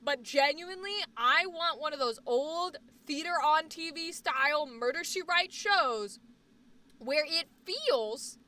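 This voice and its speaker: Bright, high-voiced opinionated woman streamer. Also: animated, slow pace, distant mic. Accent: Boston. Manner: rambling, emphatic, opinionated. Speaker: livestream host. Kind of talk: casual chat stream.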